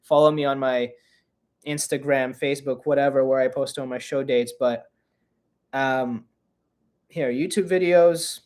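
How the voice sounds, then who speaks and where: A man's voice: mid-range voice, fairly even intonation, measured pace, long pauses, darkish tone. A man in his twenties hosting a casual live-stream Q&A podcast, chatty and self-mocking as he reads the chat aloud. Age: 20s. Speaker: podcast host.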